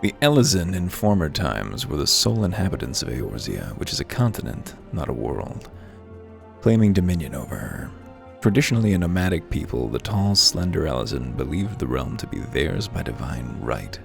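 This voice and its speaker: A man's voice: low voice, very animated, normal speed, creaky. A man giving wry, musing, articulate commentary as the host of a video game playthrough, moving between casual asides and reading aloud. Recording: music playing behind the voice. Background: music.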